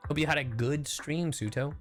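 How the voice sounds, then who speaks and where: A streamer chatting casually and reacting to a game in a playful, sarcastic, expressive way. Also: medium pitch, moderate pace. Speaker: streamer, VTuber and voice actor. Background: background music.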